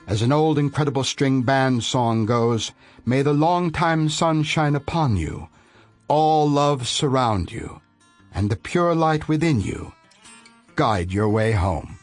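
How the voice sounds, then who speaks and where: A man's voice: medium pitch, takes it slowly, faint music behind. A man delivering a scripted audiobook introduction as narrator, in a grandiose, solemn manner.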